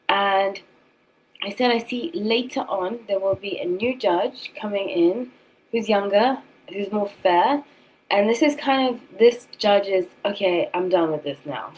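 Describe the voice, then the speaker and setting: Casting: woman, thirties, British accent, medium-pitched voice, informal streamer commentary with a loose, emphatic delivery. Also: a little hiss or hum, poor audio. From South Africa and England. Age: 30s. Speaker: tarot reader and streamer host. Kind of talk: casual livestream chat with commentary.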